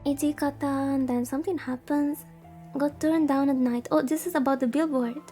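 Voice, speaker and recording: A woman's voice: high-pitched, fairly even intonation, moderate pace, mellow, faint music behind. A woman VTuber livestreaming a visual novel, chatting casually, rambling and reacting aloud as she reads along. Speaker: VTuber streamer.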